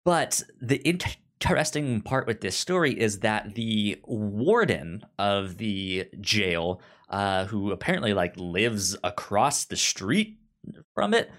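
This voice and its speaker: Slow, halting low-voiced man. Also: sing-song, fairly bright tone. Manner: halting, often stammering on words. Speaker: podcast co-host. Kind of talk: casual book discussion.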